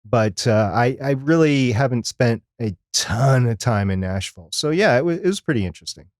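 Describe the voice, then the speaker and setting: A man's voice: mid-range voice, lively intonation, normal speed, no room sound, studio quality. A middle-aged man co-hosting a podcast, talking casually and unscripted in a rambling, conversational way. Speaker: podcast co-host. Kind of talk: casual unscripted live chat.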